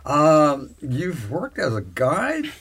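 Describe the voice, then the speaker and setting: A man with a husky voice, talking conversationally as an interviewer and often interjecting in an animated way. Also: fairly high pitch, very slow. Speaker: interviewer, host.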